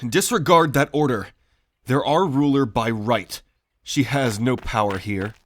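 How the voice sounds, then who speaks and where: A man acts out a young prince of firm principle in a fantasy roleplay. His voice sits at a middle pitch. He speaks with earnest loyalty and courtly politeness. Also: measured pace, fairly bright tone. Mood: firm, courteous. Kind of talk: fantasy audio roleplay acting.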